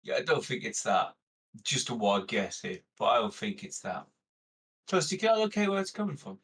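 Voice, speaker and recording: A man's voice: mid-range voice, sing-song, normal speed, a bit bright. A man playing a tabletop game, talking in an informal, plain-spoken way. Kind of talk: casual in-character roleplay chat.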